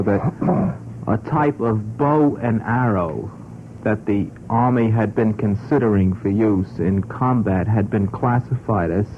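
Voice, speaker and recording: An American man as a radio panel guest, commenting off the cuff in a formal but wry, hesitant manner on an old broadcast. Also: on the low side. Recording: narrow tinny vintage radio, faint noise.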